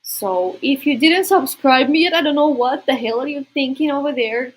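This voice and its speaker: A woman's voice: sits high, breathy edge, low-quality recording. A woman from Russia with a Russian accent, chatting informally and directly to viewers as a livestream host. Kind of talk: casual livestream chat.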